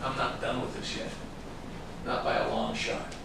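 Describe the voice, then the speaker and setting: A man's voice: medium pitch, level tone, normal speed. A man playing a relentless, accusing police investigator in a staged reading of a crime drama. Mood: stern, menacing. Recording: distant, very echoey room, clear background noise.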